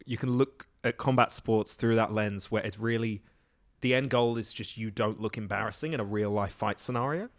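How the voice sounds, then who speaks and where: A man's voice: fairly low pitch, normal speed. Sardonic man riffing in casual podcast chat. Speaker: podcast guest.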